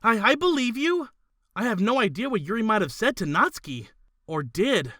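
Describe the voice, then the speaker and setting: Woman as bright, animated student narrator. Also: fairly high pitch, moderate pace, dry recording, clean studio sound. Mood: earnest, reassuring. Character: earnest, kindly high school student narrator. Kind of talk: first-person scripted narration, fan dub acting.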